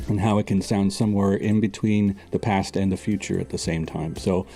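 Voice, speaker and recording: A man being interviewed as a guest composer, speaking in a relaxed, reflective way with halting phrasing. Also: sits low. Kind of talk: interview answers, clipped and repeated in the edit. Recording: loud music under the voice.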